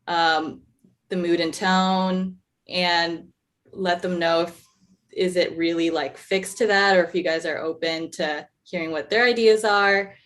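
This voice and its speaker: A woman's voice: fairly low pitch, steady tone, a little slow, fairly bright tone. A woman moderating a panel Q&A, calling on people by name and answering questions herself. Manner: friendly, calls on people by name. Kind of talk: hosting a panel Q&A.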